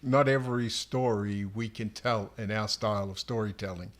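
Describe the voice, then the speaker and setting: A man co-hosting as an author, explaining things to readers in a casual, halting way. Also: on the low side, fairly even intonation. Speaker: author and livestream co-host.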